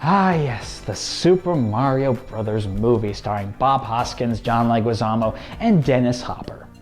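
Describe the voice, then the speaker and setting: A man's voice: medium pitch, a little slow. A man co-hosting and narrating a scripted video commentary. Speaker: co-host. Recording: music behind the voice.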